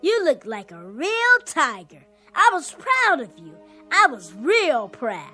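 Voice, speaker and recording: A woman's voice: sits high, wildly swooping pitch, slow, pushed and bright, soft background music, exaggerated voice. A woman playing an earnest, pleading young boy in a radio drama. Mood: subdued, sad. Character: earnest young boy who believes in magic.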